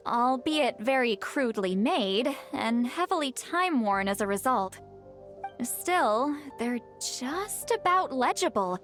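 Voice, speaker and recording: A woman playing a composed, scholarly young woman in a video game, speaking in a measured, precise, articulate way. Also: fairly high pitch, expressive, a bit bright, faint music behind. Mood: pensive, studious. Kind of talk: video game character acting.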